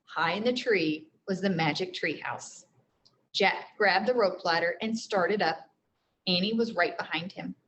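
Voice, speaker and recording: A woman's voice: fairly low pitch, a little slow, a bit bright, a little hiss or hum, rough recording. A woman teacher reading a storybook aloud to children, explaining things simply as she goes. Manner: explains simply, with frequent check-in questions.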